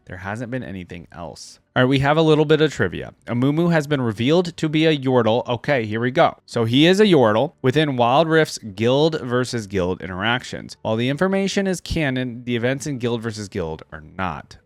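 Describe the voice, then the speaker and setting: A man hosts a casual reaction video, reading text aloud and adding his own thoughts. His delivery is informal and conversational, at a medium pitch. The sound is dry, with very little room around it. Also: no echo. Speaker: host of a fan reaction video.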